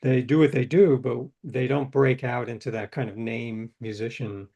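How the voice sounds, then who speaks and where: A man's voice: medium pitch, fairly even intonation, moderate pace, gravelly, mellow, slightly rough, muffled recording. A middle-aged man, a writer being interviewed, telling stories in a reflective, anecdotal way. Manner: reflective, anecdotal, hesitant restarts. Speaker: guest author. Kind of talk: interview storytelling.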